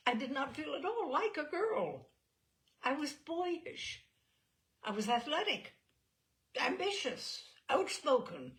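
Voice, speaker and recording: A woman giving a theatrical, expressive reading of a comic personal essay, with emphatic phrasing. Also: high voice. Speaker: reader of literary selections.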